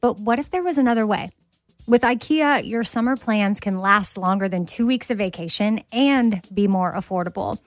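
A woman's voice: medium pitch, moderate pace, no room sound, soft background music. A woman, hosting a podcast in an upbeat, chatty way. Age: 30s. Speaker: podcast host. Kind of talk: casual interview chat.

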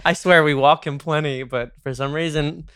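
Podcast host, slight New York accent, smooth clear voice, dry sound, casual storyteller, occasional swearing. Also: medium pitch, steady tone, moderate pace, fairly bright tone, no echo. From United States, outside New York. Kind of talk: casual podcast chat with a caller.

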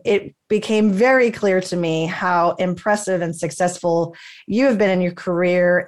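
A woman hosting a podcast interview, reading a scripted intro and then asking enthusiastic questions. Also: medium pitch. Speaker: podcast host.

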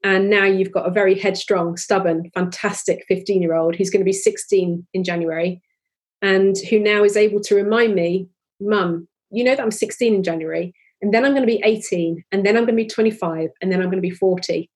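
A woman's voice: medium pitch, fairly even intonation, brisk, a little mellow, husky. A woman in her forties, a podcast guest, talking earnestly and openly with many restarts. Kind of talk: candid conversational interview.

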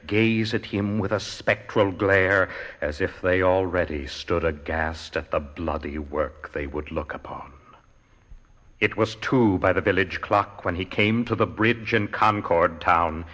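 A man gives a solemn, theatrical dramatic poetry recitation with measured phrasing. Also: low voice, pushed and bright. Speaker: poetry reader. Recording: long hall reverb.

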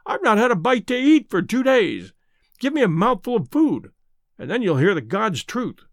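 A man doing a surly rough vagrant. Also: sits high, sing-song, moderate pace, dry recording, clean studio sound, pitched above the performer's own voice. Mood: whining. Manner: rough, whining. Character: surly down-on-luck man faking a rough persona.

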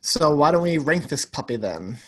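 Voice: medium pitch, big pitch swings, normal speed, a bit bright. A podcast co-host chatting casually and enthusiastically about films. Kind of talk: casual film discussion with quoted lines.

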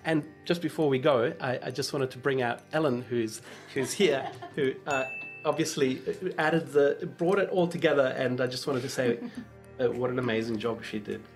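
A man hosting a podcast in a friendly, wry, measured way. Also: medium pitch, steady tone, soft background music. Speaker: podcast host. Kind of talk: interview and scripted sign-off.

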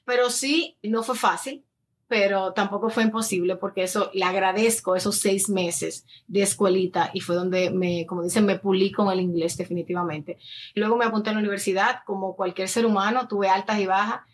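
A woman's voice: mid-range voice, steady tone, fairly quick. A woman in her early thirties with a Dominican Spanish accent, talking casually and at length as an interview guest, mixing in English words. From Dominican Republic. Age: early 30s. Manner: talkative, anecdotal, slips in English words. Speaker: interview guest, influencer and addiction counselor. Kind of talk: casual conversational interview answers.

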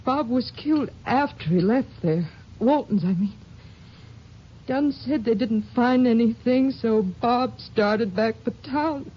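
An actress playing a grieving sheriff's widow in a 1950s radio drama, speaking softly and haltingly with a Texan accent. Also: female voice, on the high side, slightly rough. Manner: hesitant, halting. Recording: muffled old radio recording.

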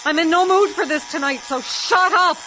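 A woman's voice: very high-pitched, moderate pace. An actress playing an anxious, irritable young woman musician in an audio drama, with an edgy, naturalistic delivery. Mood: angry. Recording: dull lo-fi audio with background noise.

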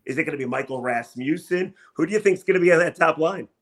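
A man's voice: fairly high pitch, fast. A man hosting a sports podcast, talking and asking questions in an enthusiastic, emphatic way. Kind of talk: upbeat sports talk and interviewing.

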